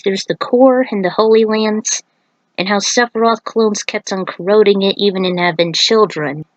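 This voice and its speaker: A woman's voice: mid-range voice, no room sound, low-quality recording. A woman narrating fan fiction as its writer, in an informal amateur reading style with small stumbles and self-corrections. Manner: informal, stumbles over words and corrects herself. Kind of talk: amateur story reading with casual author commentary.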